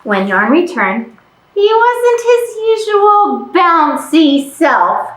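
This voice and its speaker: A woman's voice: very high voice, very animated, drawn-out words, a bit bright. A woman presenting a children's storytime in an exaggerated, playful way, often putting questions to young listeners. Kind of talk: animated children's storytime, reading and acting out. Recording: roomy, echoing room sound.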